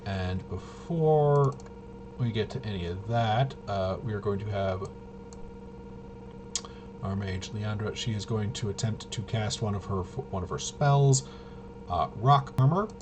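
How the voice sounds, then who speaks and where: A man casually narrating tabletop roleplaying gameplay as game master, haltingly thinking aloud and muttering to himself as he goes. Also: fairly low pitch, noisy background.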